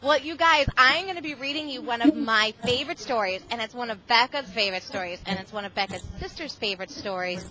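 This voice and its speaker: A woman's voice: a little high, fast talker, hardly any pauses, sharp tone, slight background noise, rough recording. A middle-aged woman reading aloud to a class of children over a video call, exclamatory and often asking them questions. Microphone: video call microphone.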